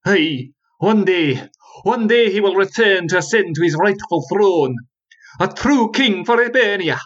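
Proud old man storyteller, played by a male narrator, grand, impassioned, lively swoops, husky, lo-fi. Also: medium pitch, normal speed, pitched above the performer's own voice.

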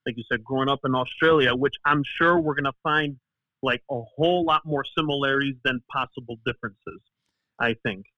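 Fast-talking man on dry, dull audio. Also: mid-range voice, fairly even intonation, pushed and bright, no echo. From United States. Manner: fast, chatty, lots of likes and you-knows. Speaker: podcast guest. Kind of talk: casual podcast conversation.

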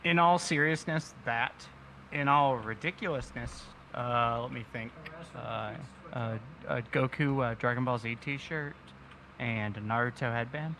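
A giggly man joking through a casual podcast chat with a bright, forceful voice. He stalls on fillers while he thinks, and faint noise sits behind him. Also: medium pitch, unhurried. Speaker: podcast co-host.

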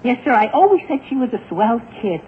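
A man's voice: very high-pitched, big pitch swings, brisk. Flustered teen boy from a 40s radio comedy, fretful n gushy, super tinny n noisy. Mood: relieved. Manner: fretful, gushing, exclamatory. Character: excitable, flustered teenage boy, late for school. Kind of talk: 1940s radio comedy acting.

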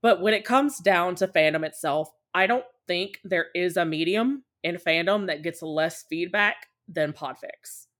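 Chatty woman with a slightly low voice. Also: unhurried, hardly any pauses, fairly bright tone. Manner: talkative and candid, often acting out short quotes. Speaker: podcast guest, podfic creator. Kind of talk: casual conversational chat.

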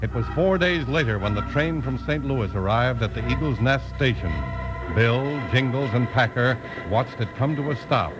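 A man's voice: mid-range voice, animated, normal speed, loud music behind the voice, low-quality recording. A man working as announcer and narrator on a 1950s children's radio show, reading scripted copy.

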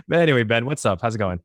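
A man's voice: mid-range voice, no room sound, clean studio sound. A man hosting a podcast, chatting casually with a guest, laughing easily and using lots of fillers. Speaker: podcast host. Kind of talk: casual interview chat.

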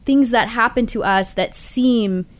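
A woman's voice: fairly high pitch, measured pace. A woman co-hosting a podcast, speaking in an encouraging, spiritual way in long flowing sentences. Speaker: podcast co-host. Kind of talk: casual conversation.

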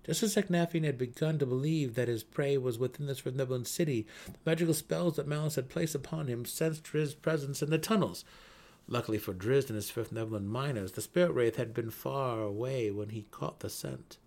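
A man's voice: medium pitch, fairly quick, darkish tone. A man narrating a fantasy story in a dramatic reading style.

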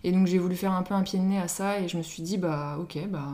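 A woman's voice: lowish voice, steady tone, quick pace, dark tone. A woman from Paris with a French accent, speaking as an interview guest in a casual, chatty way and often quoting herself. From Paris, France. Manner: informal, chatty, self-quoting. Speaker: interview guest, social media influencer.

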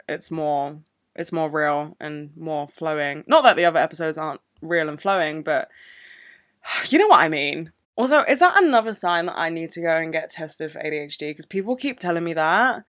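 A woman's voice: sits low, expressive, moderate pace, fairly bright tone, no room sound, clean studio sound. Sweary woman ranting on a podcast. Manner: emotional, swears a lot, ranting. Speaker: podcast host. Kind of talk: unscripted solo podcast monologue. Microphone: podcast microphone.

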